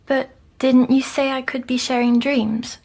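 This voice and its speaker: Timid, high-voiced young girl. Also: level tone, vocal fry, a little mellow, full character voice. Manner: hesitant, halting, breaking off mid-phrase. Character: timid young girl patient haunted by nightmares. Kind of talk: audio drama acting, recounting a nightmare.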